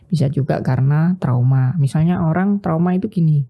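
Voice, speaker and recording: A middle-aged woman, an ENT doctor, patiently explaining voice problems in a casual health chat, pausing with fillers as she thinks. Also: low-pitched, vocal fry, highs cut off.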